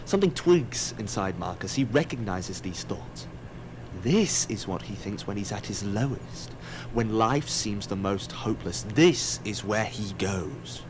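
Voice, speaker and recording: A man's voice: lowish voice, animated, a little slow, noisy background. A man in an audio drama playing an aloof, wry, digressive otherworldly narrator who muses on mortals. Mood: detached, condescending. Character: aloof, musing otherworldly narrator observing mortals, easily distracted.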